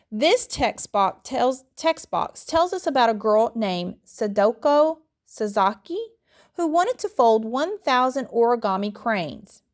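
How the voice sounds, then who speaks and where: A woman with a fairly high voice reads aloud in the style of a classroom lesson. Her delivery is instructional and deliberate, phrase by phrase, as a teacher guiding students along a text. She occasionally trips on a word and corrects it. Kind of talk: read-aloud lesson narration.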